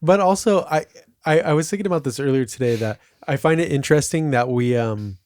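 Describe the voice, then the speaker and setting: A man's voice: on the high side, a little fast, darkish tone, dry recording, clean studio sound. A man co-hosting a podcast in casual chat, often questioning and loosely spoken. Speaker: podcast co-host.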